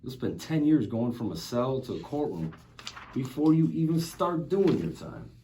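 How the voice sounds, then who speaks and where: A man's voice: medium pitch, very animated, normal speed, dark tone, lightly acted. A man at a table read playing a righteous, sardonic law agent who interrogates in a pointed, accusatory way. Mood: infuriated. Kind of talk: acted screenplay table read. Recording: noticeable background noise.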